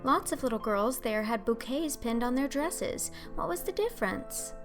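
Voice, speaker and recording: A woman's voice: a little high, animated, normal speed, music in the background, voice pushed up, lightly acted. A woman voicing an earnest, imaginative orphan girl of eleven in an audiobook, wordy, breathless and melodramatic. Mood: protesting. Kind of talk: audiobook character acting.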